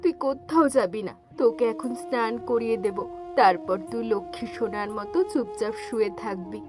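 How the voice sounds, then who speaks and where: A woman's voice: fairly high pitch, moderate pace, lightly acted. A woman playing a caring mother who soothes her young boy yet stays firm, in a cartoon folk tale. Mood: gently firm. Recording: music playing behind the voice.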